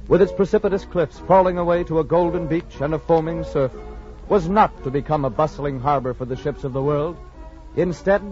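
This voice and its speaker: High-pitched man, vintage radio narrator. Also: a little mellow. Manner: formal, dramatic. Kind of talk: 1940s radio scripted narration. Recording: muffled 1940s radio recording.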